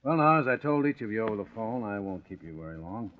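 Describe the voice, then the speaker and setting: An actor playing a brisk, matter-of-fact American chief inspector with blunt, dismissive authority in 1940s radio style. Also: male voice, fairly low pitch, lively intonation, close to an everyday voice. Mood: brisk, assured. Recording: dull, noisy old radio broadcast.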